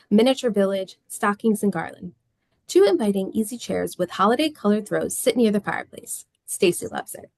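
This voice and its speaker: Casting: female script narrator, mid-range with noticeable fry, little room sound. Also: medium pitch, a little mellow, no echo. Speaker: stage-direction narrator.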